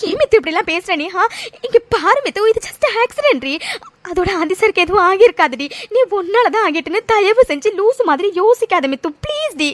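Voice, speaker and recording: A woman's voice: extremely high pitch, lively intonation, a little fast, dry recording. A woman playing a loyal young friend, earnestly coaxing and soothing, in a melodramatic audio serial. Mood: consoling.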